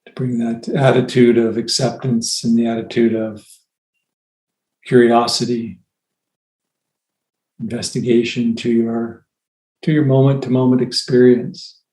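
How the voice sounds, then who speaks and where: Slow, even-toned man guiding meditation. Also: medium pitch. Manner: unhurried, gentle phrasing with long pauses. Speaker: meditation teacher. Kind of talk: guided meditation, softly spoken instruction. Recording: roomy, echoey sound.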